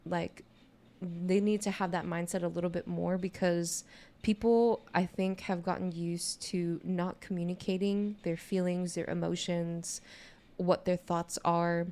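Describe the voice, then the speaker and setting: A young adult woman with a naturally low voice chats casually on a podcast, speaking in a candid, informal way peppered with "you know". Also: fairly even intonation, a little slow, darkish tone. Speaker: podcast co-host. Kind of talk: casual conversational podcast chat.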